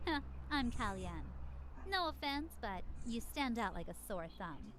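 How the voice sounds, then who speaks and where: A woman's voice: a little high, very animated, a little slow, background noise. An actress playing a brash, scornful young city woman in a video game, sardonic and disdainful.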